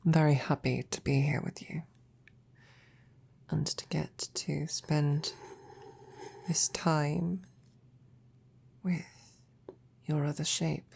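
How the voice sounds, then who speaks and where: Breathy, hesitant lady knight, acted by a woman. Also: sits low, exaggerated voice. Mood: tender, content. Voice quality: breathy, soft. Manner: gentle, hesitant, halting, formal wording. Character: devoted female royal knight, tender, courteous, easily flustered. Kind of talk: intimate scripted romantic audio roleplay.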